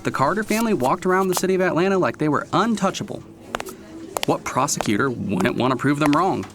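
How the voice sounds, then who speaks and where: A man's voice: on the high side, lively intonation, brisk, noisy background. Guy acting as cocky ambitious prosecutor in an audio drama, voice moves up and down a lot, music behind. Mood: confiding, reflective. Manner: confiding in narration, sharp and sarcastic in argument. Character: ambitious male prosecutor, self-assured, combative, smitten. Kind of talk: audio drama narration and dialogue.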